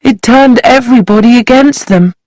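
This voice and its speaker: A woman's voice: on the high side, moderate pace, darkish tone, no room sound, pitched above the performer's own voice, lightly acted. A woman doing a thin-voiced, prim older lady.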